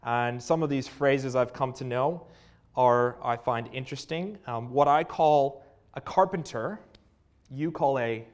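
A man with a Canadian accent preaching a sermon, reading scripture and praying in an earnest manner with frequent pauses and fillers. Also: mid-range voice.

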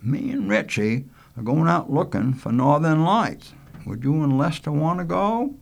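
Man as an easygoing, animated coastal young fellow. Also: on the high side, measured pace, vocal fry, voice pushed up. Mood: teasing. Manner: colloquial, folksy.

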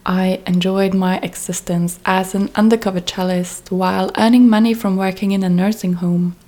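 A woman from Norway, a freelance cellist, hosting her own podcast in an earnest, measured monologue that lists her steps one by one. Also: mid-range voice, steady tone, mellow. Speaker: podcast host. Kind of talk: reflective solo podcast monologue.

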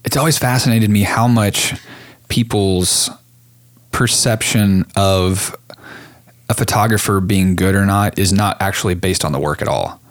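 Deep-voiced male host, laid-back rambling talker, photographer. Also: a little slow. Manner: rambling, many fillers like "like", lively when quoting others. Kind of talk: casual interview chat.

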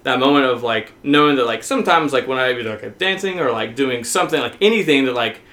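An American man from the South talking casually and openly about himself, haltingly, with "like" as a constant filler. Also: mid-range voice, fairly bright tone. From southern United States. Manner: halting, heavy use of "like" as filler; open, self-revealing. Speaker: podcast guest.

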